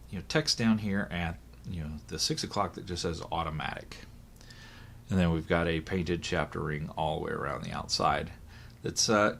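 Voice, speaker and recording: A hoarse man, voice still recovering from illness, chatting casually through a product unboxing, thinking aloud with fillers and corrections. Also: low-pitched, a bit bright, slight background noise. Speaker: watch reviewer.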